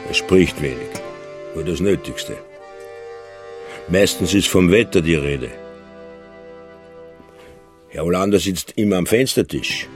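An actor playing a terse, unsentimental village innkeeper in a radio play, speaking in short, matter-of-fact sentences. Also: male voice, low-pitched. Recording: faint music behind the voice.

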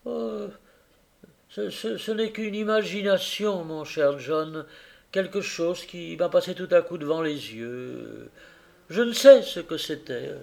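A man reading aloud and voicing a gentle young wife who stammers and falters in a storybook. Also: fairly high pitch, slow, creaky, pitched above the performer's own voice. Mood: shaken.

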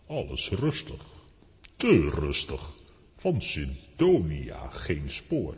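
A gruff comic-book strongman, watchful and muttering in short phrases. His very deep voice swings widely through a long reverb, as in a children's radio play. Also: huge pitch swings, very slow, full character voice. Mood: wary, searching. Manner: terse muttering to himself. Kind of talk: children's audio drama. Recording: long reverb, loud background noise.

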